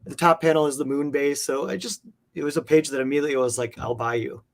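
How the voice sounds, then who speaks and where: Earnest, halting man in casual interview. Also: a little high, fairly even intonation. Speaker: guest, comic art collector.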